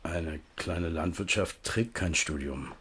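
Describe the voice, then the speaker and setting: An evasive farmer tenant. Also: male voice, sits low, takes it slowly, fairly bright tone, exaggerated voice. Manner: smooth, evasive, businesslike. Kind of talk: 1970s radio play acting.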